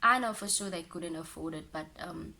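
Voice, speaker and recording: A woman's voice: fairly low pitch, normal speed, sharp tone. A young woman with an Afrikaans accent, telling a personal story in a casual vlog, hesitant with many fillers and restarts. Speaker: vlogger.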